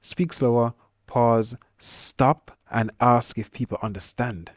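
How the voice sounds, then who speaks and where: A man with a Jamaican accent teaching a screen-share lesson, talking quickly with stutters and restarts. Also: medium pitch, fairly even intonation, no echo, clean studio sound.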